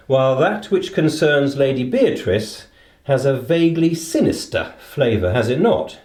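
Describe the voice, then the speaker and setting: An echoing room surrounds a man acting a shrewd British detective. He reasons aloud with theatrical authority, rising and falling freely in pitch. The delivery is deliberate and commanding, as in a radio play. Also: lively intonation, darkish tone, natural voice. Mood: musing, deductive.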